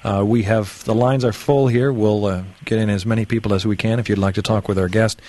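A man's voice: on the low side, fairly even intonation, a little fast, mellow. A man hosting a call-in radio show, asking long, wandering questions and reading out phone numbers. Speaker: radio host.

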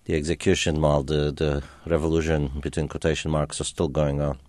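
A man from Romania whose first language was German, a radio guest talking in a reflective, halting way with many fillers. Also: extremely low pitch, little pitch movement, a little mellow, dry recording. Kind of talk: call-in radio interview.

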